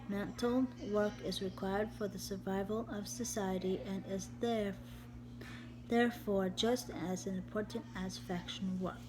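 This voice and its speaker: A woman reading a text aloud slowly and haltingly in a steady, even tone, often repeating words and restarting. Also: medium pitch, low-quality recording. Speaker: vlogger. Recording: steady background hum.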